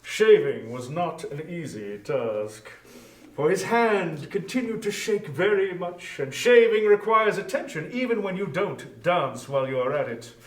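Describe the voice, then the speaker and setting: Male storybook narrator, high voice, expressive and measured, heard a little away from the mic. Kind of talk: scripted dramatic storybook narration. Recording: echoey room, distant from microphone.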